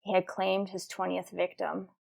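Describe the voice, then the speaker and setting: A woman's voice: fairly low pitch, completely flat delivery, moderate pace, gravelly, a little mellow. A woman playing a terse, dutiful police detective in an old-time radio crime drama, clipped and matter-of-fact. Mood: grim, matter-of-fact. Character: terse, dutiful female police detective narrating her case.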